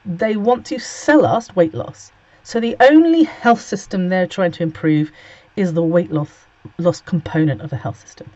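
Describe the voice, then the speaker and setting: A man's voice: high voice, normal speed, a little mellow, no room sound. A man in his forties hosting a casual solo monologue, sarcastic and blunt, swearing freely and a bit sniffly with a cold. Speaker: host. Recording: dull lo-fi recording.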